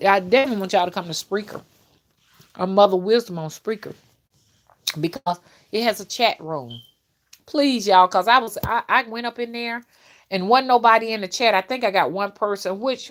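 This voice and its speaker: A woman, chatting casually as a livestream host and talking straight to her viewers, often checking in with them. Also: medium pitch. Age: early fifties. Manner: conversational, addresses viewers directly, often checks in with "okay" and "you know". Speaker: livestream host and content creator.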